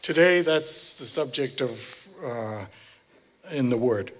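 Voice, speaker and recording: A man's voice: mid-range voice, lively intonation, slow. A man interpreting a sermon into English, phrase by phrase, often searching for words and correcting himself. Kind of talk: consecutive church interpreting.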